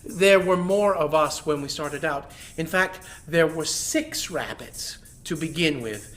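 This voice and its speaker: A storyteller voicing a small, clever male rabbit who speaks meekly and flatters the king. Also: medium pitch, unhurried, close to an everyday voice. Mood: humble. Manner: meek, flattering.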